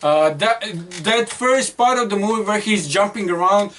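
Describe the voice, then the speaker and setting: A man's voice: sits high, animated, normal speed, crowd noise. A man co-hosting a casual movie podcast, chatty and a little hesitant. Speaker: podcast co-host. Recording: distant mic with faint noise.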